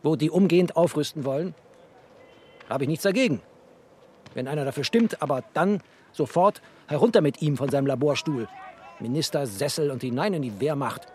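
An actor playing a bookish, sardonic refugee who narrates in a literary, poetic and opinionated way, as in a radio drama. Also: male voice, fairly high pitch, expressive, a little fast, a little mellow, slight background noise, close to an everyday voice. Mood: indignant, barking. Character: bookish, sardonic male first-person narrator, a postwar refugee.

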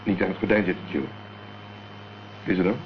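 A man's voice: deep voice, brisk, natural voice. A man acting a cool, determined amateur investigator in a Dutch radio crime drama, speaking tersely and matter-of-factly. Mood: wary, calm. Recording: dull, noisy old radio play recording.

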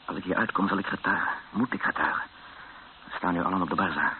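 A man's voice: low voice, quick pace. A male actor playing a captive Dutchman in a radio drama, recounting and living his ordeal in a sober, earnest, tense way. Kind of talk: first-person radio drama narration and dialogue. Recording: tinny old radio recording with clear noise.

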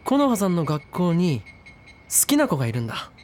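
A man's voice: high voice, wildly swooping pitch, brisk, a little hiss or hum. An actor playing a laid-back teenage boy who talks in a casual, slangy, friendly way. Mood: earnest. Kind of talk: drama CD acting.

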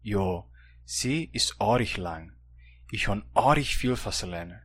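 A man's voice: on the low side, animated, slow, fairly bright tone, slightly rough, dry recording. A man with a Brazilian Hunsrik German accent, reading the student part of a scripted textbook dialogue in a plain, questioning manner. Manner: plain, questioning learner's delivery. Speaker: language course reader.

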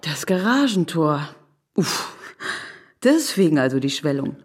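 Self-assured woman for radio drama, played by an actress: lowish voice, inquisitive, dryly teasing, naturalistic delivery. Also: very animated, unhurried, slightly rough, exaggerated voice. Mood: dryly suspicious. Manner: probing, dryly playful. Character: curious, teasing, self-assured married woman, Fräulein Schlüter.

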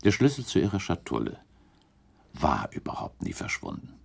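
A shrewd gentleman inquisitor in a period radio drama. His voice is low and gravelly, swinging expressively as he builds his case in formal, deliberate phrases. He stays composed and relentless. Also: wildly swooping pitch, full character voice. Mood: shrewd, insistent. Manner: formal, deliberate, insistent questioning. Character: probing gentleman accuser, shrewd, composed, relentless cross-examiner. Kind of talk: German radio play acting, formal period dialogue.

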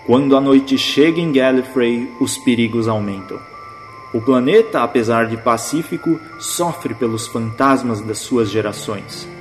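A man's voice: medium pitch, a little slow, soft background music. A man giving dramatic scripted narration for an audio drama.

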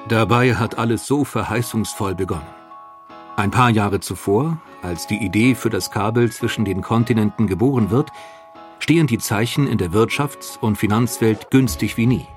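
A man narrating a history documentary in a calm, even, scripted way. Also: lowish voice, normal speed, soft background music.

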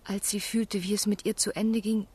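Brief: actress as a gentle, sorrowful eighteenth-century young woman, extremely breathy and almost whispering, mid-range pitch. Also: little pitch movement, moderate pace, natural voice. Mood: grieving, tender. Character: tender, melancholy young woman of the 1770s. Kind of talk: literary radio drama.

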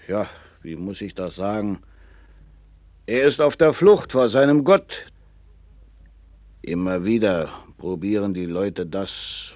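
Slow-spoken, worldly old-time seafarer. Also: male voice, on the low side, darkish tone, dry recording. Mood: musing, confiding. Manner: slow, musing, storytelling, with swings from boastful to confiding. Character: worldly, seasoned seafarer, proud and knowing. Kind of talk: 1960s German radio play acting.

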